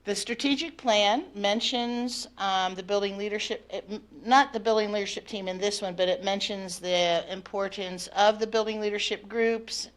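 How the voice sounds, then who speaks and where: A woman with a naturally loud voice addresses a school board from the podium mic. She speaks for the teachers' union and works from notes but drifts into off-the-cuff asides with fillers. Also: mid-range voice, fairly even intonation, normal speed, few pauses. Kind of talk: semi-prepared public presentation. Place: board of education meeting room. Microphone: podium microphone.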